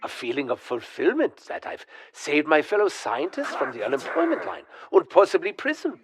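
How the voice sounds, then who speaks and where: An actor playing a scheming, condescending mad scientist with a theatrical German accent in a video game. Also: male voice, high-pitched, wildly swooping pitch, normal speed, creaky, slightly rough, exaggerated voice. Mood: smug, persuasive. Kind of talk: video game cutscene acting.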